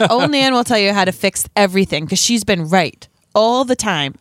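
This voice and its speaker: A woman's voice: medium pitch, lively intonation, normal speed, a bit bright, no echo, clean studio sound. A woman co-hosting a fan podcast, reading listener comments aloud and giving strong opinions in a teasing way. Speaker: podcast co-host.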